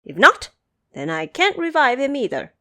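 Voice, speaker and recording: A woman's voice: high voice, wildly swooping pitch, moderate pace, pushed and bright, no echo, studio quality, pitched above the performer's own voice, exaggerated voice. A woman voicing a mischievous, boastful male monkey king in a storybook reading, teasing and bossy in manner. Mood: lively boastful.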